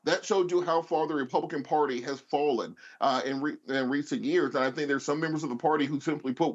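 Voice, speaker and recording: A man speaking as a panelist, giving emphatic, off-the-cuff political commentary and arguing his points. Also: fairly high pitch. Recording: dull, lo-fi remote feed.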